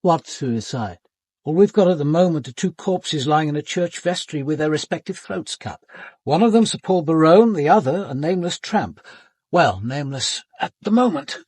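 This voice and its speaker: Senior British police detective, husky and grainy, lively intonation, grave, authoritative, articulate, radio drama. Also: male voice, a little high, no room sound. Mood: firm, sardonic. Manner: measured, articulate, dry understatement. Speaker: lead actor and narrator. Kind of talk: radio drama acting and narration.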